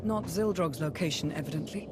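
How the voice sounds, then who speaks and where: A woman's voice: deep voice, brisk, heavy background noise, lightly acted. Woman acting a resolute, formal military advisor. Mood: businesslike, determined. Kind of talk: scripted video game cutscene acting.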